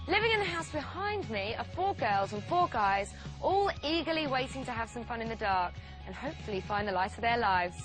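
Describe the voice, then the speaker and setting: Upbeat female host, slightly high voice, music under. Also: animated, fairly quick. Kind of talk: presenting a dating show.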